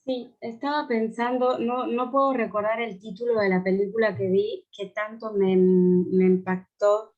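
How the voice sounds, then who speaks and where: A woman in her fifties, Italian by birth, speaks Spanish at an unhurried pace with a clear Italian accent. She muses aloud, stopping and restarting with many fillers as she searches for words. She is heard over a video call. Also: mid-range voice, fairly even intonation, narrow, tinny audio. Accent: Italian, living in Buenos Aires. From Italy. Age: 50s. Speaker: guest writer. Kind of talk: thoughtful conversation in a writing workshop.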